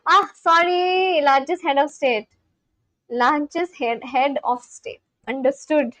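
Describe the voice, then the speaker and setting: A young woman with a Delhi Indian English accent, chatting casually with her livestream viewers, reading their comments aloud with quick apologetic asides. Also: high voice, steady tone, a bit bright, no echo. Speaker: live streamer.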